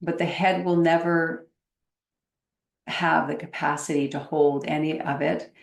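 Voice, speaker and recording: A woman meditation teacher leading a guided meditation in a gentle, unhurried manner with long pauses, in a roomy, echoey recording. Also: low-pitched, fairly even intonation, gravelly.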